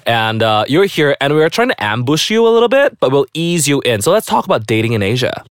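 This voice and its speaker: A man's voice: medium pitch, big pitch swings, fast talker, smooth, no echo. A man with a Malaysian accent co-hosting a podcast, teasing and playful in casual studio chat. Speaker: podcast co-host.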